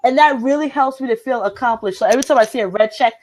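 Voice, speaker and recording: A woman's voice: a little high, quick pace, darkish tone. A woman with a Liberian accent hosting a motivational group chat, speaking earnestly and emphatically, often repeating phrases to drive a point home. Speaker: host.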